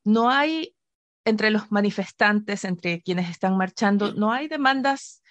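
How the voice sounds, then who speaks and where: A woman's voice: medium pitch, expressive, takes it slowly, no echo. A woman from Ecuador, hosting an interview and asking questions in a conversational way. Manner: conversational, frequent short backchannels. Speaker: interviewer host.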